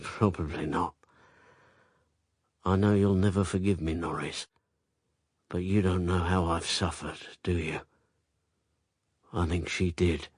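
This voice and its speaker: A low, unhurried male voice, close and very dry. He is a coarse, sardonic rival in love, bitter and blunt, in a radio play. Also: fairly even intonation, breathy edge, dry recording, full character voice. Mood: bitter, confessional. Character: coarse, bitter male rival and lover, self-styled common man. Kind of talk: radio drama acting.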